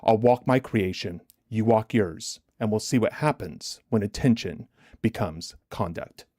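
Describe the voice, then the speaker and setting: A man hosting a livestream as a motivational trading coach, deliberate and emphatic, his chest a little congested from a recent illness. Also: on the low side, mellow, no room sound, clean studio sound. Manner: deliberate, emphatic, repetitive rhetorical questions. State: chesty, getting over bronchitis, clearing throat.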